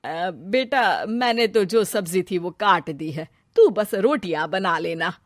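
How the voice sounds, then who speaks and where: Woman playing a gentle motherly mother-in-law, dry sound. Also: lowish voice, lively intonation, takes it slowly, no room sound. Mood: indulgent. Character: kindly, self-sacrificing middle-aged mother-in-law. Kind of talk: Hindi family cartoon character voice.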